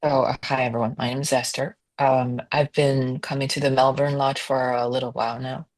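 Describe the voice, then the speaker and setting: A woman's voice: extremely low pitch, little pitch movement, brisk, no room sound. Polite woman, dry sound. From China. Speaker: debate panelist. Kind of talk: casual self-introduction.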